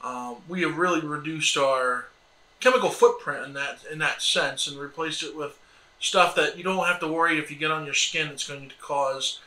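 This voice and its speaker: An American man chats to camera as a vlog co-host, wandering through his explanations. His voice is somewhat high for a man and strikingly bright and forceful. The room rings with echo around him. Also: normal speed. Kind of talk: casual explanatory chat to camera. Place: indoors at a table in a small sunlit room by windows. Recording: echoey room.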